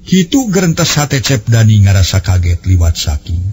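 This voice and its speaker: A man's voice: fairly low pitch, sing-song, normal speed, a little mellow, soft background music. A man with a West Javanese accent telling a story in dramatic radio style, recorded on old cassette. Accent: Sundanese-language West Javanese.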